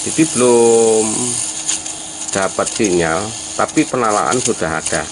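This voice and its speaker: A man's voice: lowish voice, a little slow. A man giving a casual hands-on repair demonstration, addressing viewers informally as friends. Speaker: hobbyist repairer presenting a how-to video. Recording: loud mechanical and tool noise.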